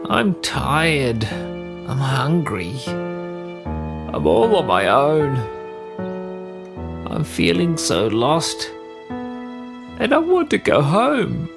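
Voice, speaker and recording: A man voicing a sad, weary, stubborn male sheep in a storybook, plaintive and drawn-out. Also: fairly high pitch, huge pitch swings, vocal fry, over music, voice pushed up, exaggerated voice. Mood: weary, forlorn.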